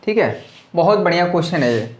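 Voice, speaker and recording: A man's voice: a little high, lively intonation, a little fast, reverberant. A man teaching a maths lesson at a board with a slightly sore throat, talking casually and often checking that students follow. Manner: chatty, repeats checks like "clear?" to students.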